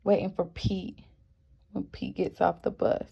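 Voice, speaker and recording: A woman teacher reading a picture book aloud to young children, expressive and encouraging, often asking the listeners questions. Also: lowish voice, measured pace, gravelly, husky. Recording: dull, muffled lo-fi sound.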